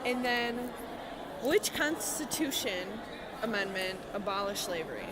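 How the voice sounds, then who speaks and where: A woman's voice: medium pitch, lively intonation, measured pace. A young woman interviewing people in a casual, chatty street quiz, informal and giggly in manner. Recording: busy public crowd noise behind.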